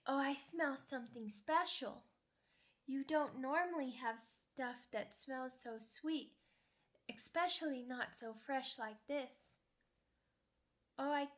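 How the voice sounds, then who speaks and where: A woman's voice: high-pitched, fairly bright tone. A woman acting a young werewolf pup girl in an ASMR roleplay, timid, earnest and sweet, with a hesitant, childlike way of talking. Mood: sweet, chatty. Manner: hesitant, simple childlike wording with stammered repeats.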